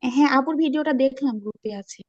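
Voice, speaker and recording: A woman's voice: sits high, fast talker, darkish tone. A woman chatting casually as a participant in an online group call. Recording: muffled online call audio.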